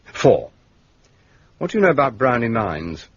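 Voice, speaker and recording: Muffled, urbane gentleman detective. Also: medium pitch, sing-song, unhurried, slightly rough, no room sound. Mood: brisk, methodical. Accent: British, upper-class English. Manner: polished, precise, drily understated. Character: urbane, shrewd gentleman detective, courteous and dryly wry. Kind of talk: British radio detective drama acting. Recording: muffled, dull radio drama recording.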